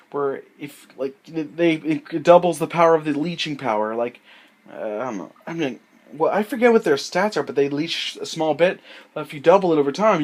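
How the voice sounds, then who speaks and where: Young guy, a little high-voiced, sick, recording on a handheld device; halting, rambling delivery with lots of fillers. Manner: halting, many fillers and self-corrections. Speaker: gaming vlogger. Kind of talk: rambling unscripted monologue. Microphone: handheld device mic.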